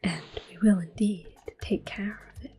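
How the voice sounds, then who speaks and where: A woman whispering close to the mic as she calmly plays through an old game and recalls it fondly. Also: medium pitch, moderate pace, husky. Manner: soft whispering, gently nostalgic. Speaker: ASMR gameplay host. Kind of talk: whispered ASMR game commentary. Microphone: close sensitive mic. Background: faint game music.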